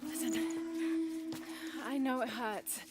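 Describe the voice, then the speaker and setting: A woman's voice: sits high, takes it slowly, heavy background noise. An actress with an English accent playing a determined young female archaeologist in a video game, earnest and focused. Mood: soothing. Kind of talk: video game cutscene acting.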